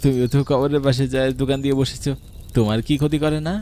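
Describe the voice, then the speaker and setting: A man voicing a condescending, easily spooked investigating officer in a horror cartoon, with an expressive, dramatic delivery. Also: mid-range voice, fast talker, slight background noise, lightly acted. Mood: self-assured.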